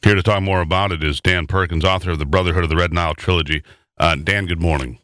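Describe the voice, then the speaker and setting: A man's voice: sits low, fairly even intonation, fast, fairly bright tone, no room sound. A man hosting a news talk show, speaking indignantly and stumbling over his words.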